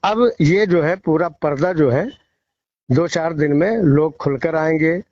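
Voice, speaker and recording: A man's voice: measured pace, darkish tone. A male field reporter giving emphatic, moralizing opinions in a news phone interview, often repeating phrases. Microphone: phone call. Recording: muffled, echo-free low-fidelity phone call recording.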